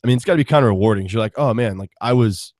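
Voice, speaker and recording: Co-host, man, low voice, rapid chatty questions, dry sound. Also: a little mellow, no echo. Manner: fast, chatty, asks probing questions. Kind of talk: casual chat.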